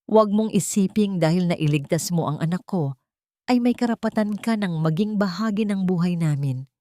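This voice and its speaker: A woman's voice: fairly low pitch, lively intonation, moderate pace, warm tone, no room sound, close to an everyday voice. Woman narrator as a cold, arrogant rich man. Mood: cold, contemptuous. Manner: curt, commanding, contemptuous. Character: cold, arrogant wealthy man, an anguished father. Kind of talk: audiobook melodrama dialogue.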